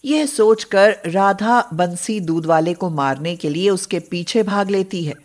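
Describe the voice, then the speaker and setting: A woman's voice: medium pitch, a little slow, a little mellow. A woman narrating a scripted moral story for children as the storyteller.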